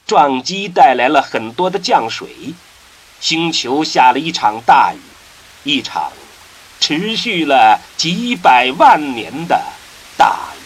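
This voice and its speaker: A man narrating a science-fiction radio drama in a solemn storytelling manner, with dramatic pauses. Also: on the high side, big pitch swings, breathy edge, low-quality recording.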